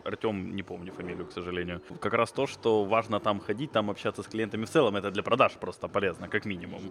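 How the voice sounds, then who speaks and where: A man's voice: fairly low pitch, steady tone, fast talker, fairly bright tone, a little hiss or hum. A man hosting an interview podcast, asking hesitant questions as he searches for words. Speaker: podcast host. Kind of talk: casual interview chat.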